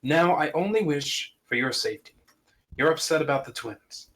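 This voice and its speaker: A man's voice: on the high side, expressive, moderate pace, fairly bright tone. A man playing a devoted fatherly dragon in a fantasy script table read, with earnest, grandiose storybook phrasing. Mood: solemn devotion.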